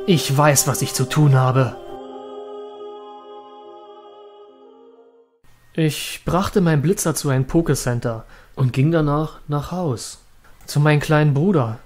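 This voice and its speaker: A man's voice: on the high side, a little mellow, faint music behind, natural voice. A man playing a haunted young trainer, recounting his story in a brooding, dramatic way for a horror audio drama. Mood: subdued, brooding. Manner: dramatic, halting at moments, brooding. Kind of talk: German horror audio drama narration and acting.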